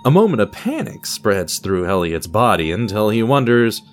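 A man's voice: fairly low pitch, big pitch swings, moderate pace, slight background noise. A man narrating a scripted audio drama in a theatrical, storybook style, with a wry touch.

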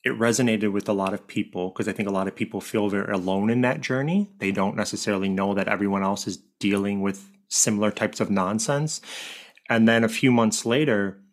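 A man who works as a grief coach, telling stories in a relaxed, chatty way in a podcast interview and laughing easily. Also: on the low side, fairly quick. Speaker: grief coach and co-author guest.

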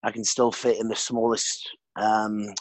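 A man's voice: lowish voice, little pitch movement, measured pace, no echo. A British man in his twenties, a podcast guest chatting casually, laughing often and swearing freely. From Britain. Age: 20s. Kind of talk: casual interview chat.

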